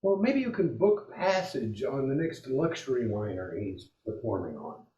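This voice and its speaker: A man's voice: medium pitch, sing-song, normal speed, mellow, reverberant, lo-fi sound, natural voice. A man playing a genial 1940s radio variety show announcer, in period radio acting style. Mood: teasing, presenting.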